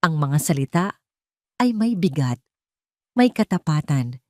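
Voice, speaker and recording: A woman's voice: low voice, very animated, a little slow, no echo, clean studio sound. A woman reading a scripted dramatic story as its narrator.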